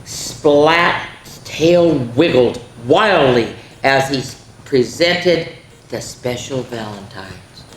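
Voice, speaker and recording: A woman's voice: extremely low pitch, expressive, extremely slow. A woman hosting a children's story time, reading aloud dramatically and chatting directly to young listeners with lots of exclamations. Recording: roomy echo, faint background noise.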